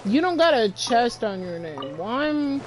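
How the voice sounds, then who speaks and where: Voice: mid-range voice, very animated, unhurried, faint music behind. A female streamer chatting casually over gameplay in a slangy, grumbling way. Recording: clear background noise.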